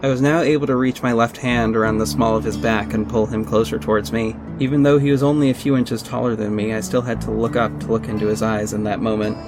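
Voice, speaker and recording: A man's voice: fairly low pitch, flat delivery, fast, hardly any pauses, over music, natural voice. A man playing a confident vigilante detective who narrates his own story in an earnest, dramatic audio drama style. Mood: soft, reassuring. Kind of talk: first-person audio drama narration with acted dialogue.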